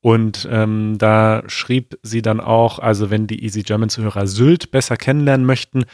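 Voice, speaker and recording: A man co-hosting a podcast in relaxed casual chat, often hesitating and searching for words. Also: fairly low pitch, normal speed, no echo, clean studio sound. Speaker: podcast co-host.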